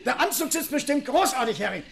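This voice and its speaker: Very high, creaky jovial general. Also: male voice, very animated, quick pace, a little hiss or hum, exaggerated voice. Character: jovial, chuckling American general, musing and worldly. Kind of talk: German radio play dialogue.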